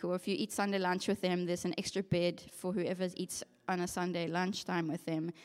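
A young woman with a South African English accent tells her personal story to a congregation, earnest and reflective, often pausing on "um" and "yeah". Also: on the low side. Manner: earnest, reflective storytelling with frequent ums and yeahs. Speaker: occupational therapist giving a testimony. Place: church hall with a masked audience. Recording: long hall reverb.

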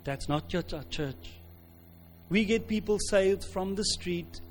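South African man preaching, higher-pitched voice. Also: measured pace, slight background noise. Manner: conversational, emphatic, repeats phrases. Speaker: pastor, preacher. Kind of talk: informal sermon with storytelling.

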